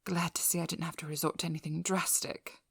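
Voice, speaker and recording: A woman playing a composed, guarded female vampire hunter in an ASMR role-play, breathy and often whispering, with controlled, firm delivery. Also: sits low, flat delivery, a bit bright, no echo, exaggerated voice. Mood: weary, dry. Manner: slow, soothing instructions, firm and controlled. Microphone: close ASMR microphone.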